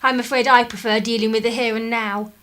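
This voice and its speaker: An actress playing a defiant, idealistic eighteen-year-old English daughter in a radio drama, assertive and sardonic. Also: female voice, fairly high pitch, flat delivery, brisk, fairly bright tone, close to an everyday voice. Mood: frank, assured. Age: young adult.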